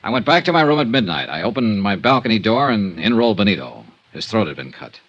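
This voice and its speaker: Tough american detective type, lowish voice, wry n matter-of-fact, old 50s radio noir. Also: male voice, sing-song, quick pace, a bit bright, muffled recording. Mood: wry, defensive. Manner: clipped, dry, matter-of-fact. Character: wry, tough American male insurance investigator. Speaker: actor narrating and starring in a radio detective serial. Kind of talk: 1950s hardboiled radio acting.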